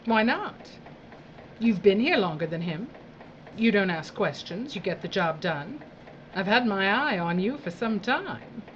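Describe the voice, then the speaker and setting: A theatrical, tyrannical lady boss, drawls with mock sweetness and swoops up and down. She is condescending and slow, in a dystopian radio play with slightly dull sound. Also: mid-range voice, big pitch swings, a little hiss or hum. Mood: toying, condescending. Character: domineering, theatrical, sadistic female boss of dystopian work crews. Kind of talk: theatrical audio drama acting.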